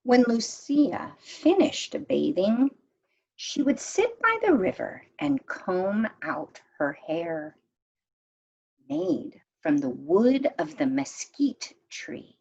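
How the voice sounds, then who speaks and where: Slow, expressive woman reading a storybook aloud. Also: mid-range voice, gravelly, husky, muffled recording. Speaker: storyteller reading a children's picture book aloud. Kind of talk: read-aloud storytime narration.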